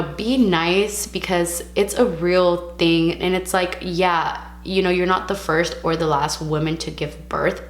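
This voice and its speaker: A young woman from California vlogging casually to camera, frank and chatty, mixing in Spanish words, her voice a little raspy from a sore throat. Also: fairly low pitch, roomy sound. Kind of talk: casual vlog talk to camera.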